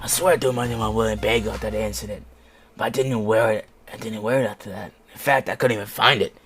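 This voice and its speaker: A man's voice: fairly low pitch, steady tone, fast, a bit bright, pitched below the performer's own voice. A man voicing a grumpy, suspicious, irritable male character from a fan fiction story. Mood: suspicious muttering. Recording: music playing behind the voice.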